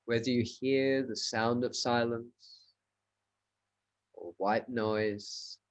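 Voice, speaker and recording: Male meditation teacher, unhurried pace, short spaced phrases, measured instructional delivery. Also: medium pitch, lo-fi sound. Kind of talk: guided meditation.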